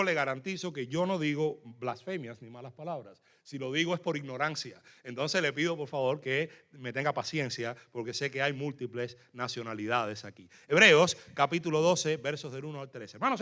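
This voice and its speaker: A man with a Cuban accent preaching a sermon in a fervent, emphatic way, often pausing on fillers. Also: a little high, pushed and bright. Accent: Cuban Spanish. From Cuba. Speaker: guest preacher.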